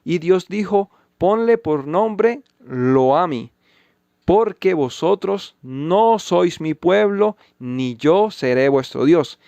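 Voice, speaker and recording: A man with a somewhat high, gravelly voice delivers scripted commentary on Bible passages. He reads the verses slowly and with emphasis. The sound is dry and close, with no room around it. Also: expressive, mellow, no echo, lo-fi sound. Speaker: channel host refuting a pastor.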